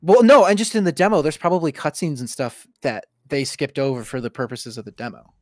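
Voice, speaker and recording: Higher-pitched young man, dry close sound. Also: brisk, dry recording. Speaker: game streamer co-host. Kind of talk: casual gaming chat.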